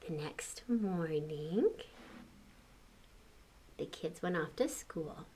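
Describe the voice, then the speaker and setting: An older woman reading a children's picture book aloud, pausing to comment on the pictures and ask young listeners questions. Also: low voice, expressive, darkish tone, a little hiss or hum, poor audio. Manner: exclaims and chats about the pictures, asks questions. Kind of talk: expressive read-aloud storytelling with asides to young listeners.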